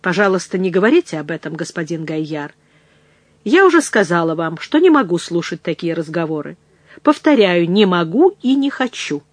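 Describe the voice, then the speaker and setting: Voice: mid-range voice, sing-song, measured pace, slightly rough, no echo. A narrator voicing a humble yet firm young enslaved woman, earnest and imploring, in an audiobook. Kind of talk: dramatised audiobook reading.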